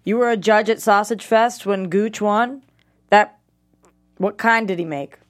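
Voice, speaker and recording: A rambling, foul-mouthed slacker acted out by a woman at a comedy table read. The voice is mid-pitched and the rants are paranoid, delivered deadpan as plain fact. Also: measured pace, natural voice. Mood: deadpan insistence.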